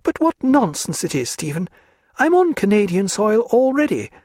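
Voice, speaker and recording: A man voicing a teasing high-spirited lady. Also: big pitch swings, moderate pace, a little mellow, no room sound, clean studio sound. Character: lively teasing young English lady. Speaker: audiobook narrator.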